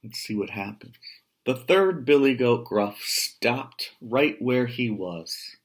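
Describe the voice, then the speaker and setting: An American man in his fifties reading a children's picture book aloud, with measured, casual explanatory asides. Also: medium pitch. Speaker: storyteller, writer. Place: small room at home.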